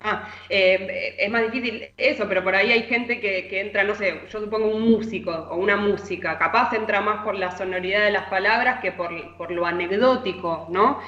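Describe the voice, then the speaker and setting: A woman's voice: medium pitch, normal speed, few pauses, a bit bright. Echoey muffled connection, Argentine woman, literary columnist, conversational, explanatory, hesitant phrasing, tag questions.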